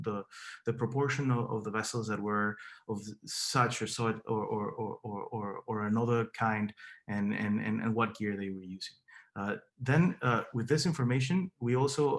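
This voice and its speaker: A man's voice: fairly low pitch, steady tone, normal speed. A young man from Peru, a Spanish speaker with a Peruvian accent, giving an academic seminar talk with many fillers and repeated words. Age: young adult. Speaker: PhD candidate giving a seminar.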